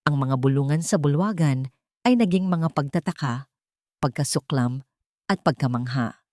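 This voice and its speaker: A woman with a Filipino accent narrating a dramatic story in a measured, solemn way. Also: deep voice, expressive, a little mellow, dry recording, clean studio sound. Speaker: narrator of a Tagalog audio drama.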